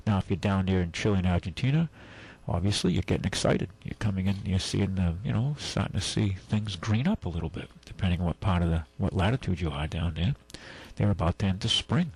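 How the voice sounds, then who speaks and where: A man's voice: deep voice, gravelly, darkish tone, husky, rough recording. A man hosting a talk radio show, chatting in a rambling, casual way with asides and restarts. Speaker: radio host.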